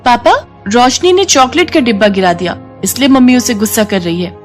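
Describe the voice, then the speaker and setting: A woman's voice: a little high, animated, normal speed, faint music behind, pitched above the performer's own voice, close to an everyday voice. A woman voicing a childlike, eager little rich girl with a whiny manner in a moral story cartoon. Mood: tattling. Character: excitable little rich girl, chattering to her parents.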